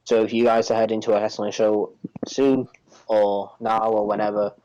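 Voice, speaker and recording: A man co-hosts a casual podcast in a fairly low register. His tone is very soft-edged and dark, suited to chat and informal sponsor reads. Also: little pitch movement, moderate pace, lo-fi sound. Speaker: podcast co-host.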